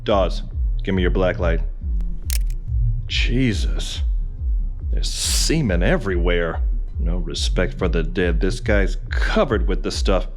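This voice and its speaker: A man's voice: deep voice, animated, slow pace, long pauses, music in the background. An actor playing a bitter, hardboiled male detective who blusters melodramatically in a radio play. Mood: deadpan.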